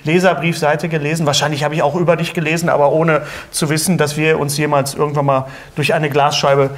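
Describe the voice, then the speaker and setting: Higher-voiced middle-aged man hosting an interview. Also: little pitch movement, brisk. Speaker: interviewer, host. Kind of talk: casual talk-show interview. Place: indoor studio set, seated at a table.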